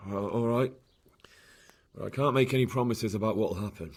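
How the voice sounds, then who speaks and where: A man's voice: lowish voice, moderate pace, husky. An actor playing a sceptical, hungover young man in a video game, exasperated and sarcastic. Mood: grudging. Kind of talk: video game cutscene acting.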